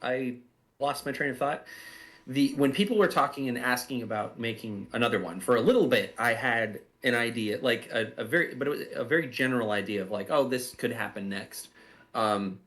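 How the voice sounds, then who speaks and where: A man's voice: medium pitch, brisk, a bit bright. A man who writes and makes audio drama, speaking unscripted and reflectively, often circling back to rephrase as he goes. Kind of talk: unscripted commentary discussion.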